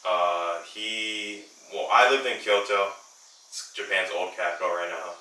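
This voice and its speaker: A man's voice: sits low, steady tone, unhurried, bright, forceful tone. A twenty-year-old man hosting a podcast in casual chat, rambling loosely with plenty of fillers. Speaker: podcast host. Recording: echoey room, mic at a distance.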